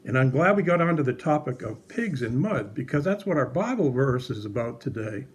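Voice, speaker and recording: A man's voice: medium pitch, big pitch swings, brisk. A man presenting a casual Bible lesson for children in a puppet show, explaining things simply and conversationally.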